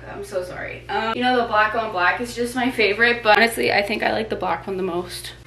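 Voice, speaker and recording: A woman's voice: mid-range voice, fairly even intonation, a little fast, bright, forceful tone, echoey room, a little hiss or hum. A young woman in her twenties chatting casually and bubbly in a home vlog, picked up on a camera mic across the room. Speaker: vlogger. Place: bedroom and living room at home.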